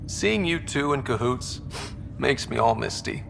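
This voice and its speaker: An actor playing a young male space explorer in a video game, wry and warm, given to dry banter. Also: medium pitch, animated, measured pace, vocal fry, background noise.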